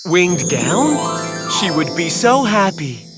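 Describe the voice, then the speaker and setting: A woman voicing a kind-hearted, naive young male woodcutter in a children's animated folk tale. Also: lowish voice, slow. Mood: hopeful. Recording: music behind the voice.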